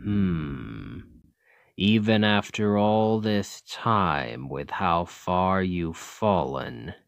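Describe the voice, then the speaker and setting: Guy doing an ancient demon king for asmr roleplay, super slow and low, cold menacing tyrant, talks down to you. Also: no echo, studio quality, exaggerated voice. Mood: commanding. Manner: measured, condescending, menacing. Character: ancient male Demon King, coldly calculating, condescending tyrant.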